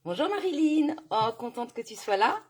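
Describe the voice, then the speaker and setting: A woman's voice: high voice, very animated, moderate pace, breathy edge. A woman with a cold and sore throat, hosting a casual craft live stream and greeting viewers by name, chatty with many fillers.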